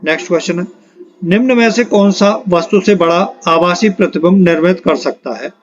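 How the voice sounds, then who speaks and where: A man's voice: high voice, moderate pace, muffled recording. A man teaching an exam-prep lesson, reading quiz questions and options aloud and announcing the answers in an instructional way.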